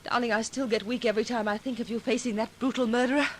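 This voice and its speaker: Actress as sleuth's loyal lady friend, old-time radio, high pitch, earnest, inquisitive. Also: fairly even intonation, fast, lightly acted. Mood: curious, tender. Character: anxious, devoted female companion of a detective. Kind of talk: 1940s radio acting. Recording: faintly noisy vintage 1940s radio recording.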